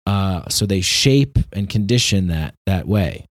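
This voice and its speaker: A man with a fairly low voice speaks his opinions off the cuff in a dry, echo-free recording. He is earnest and emphatic, stopping and restarting as he hunts for the right word, often repeating a phrase before moving on. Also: lively intonation. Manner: halting, repetitive, searching for words. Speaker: commentator. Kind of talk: unscripted opinion monologue.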